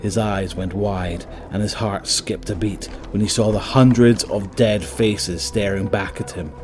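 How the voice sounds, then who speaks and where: A man's voice: lowish voice, fairly even intonation, measured pace, over music. A man narrating a scripted dramatic story as the narrator of an audio drama.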